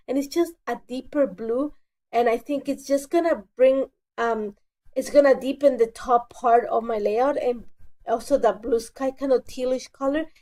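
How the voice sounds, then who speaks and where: Woman, stuffy with a cold, mellow higher voice, casual craft voice-over, chatty and self-correcting. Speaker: scrapbooking crafter.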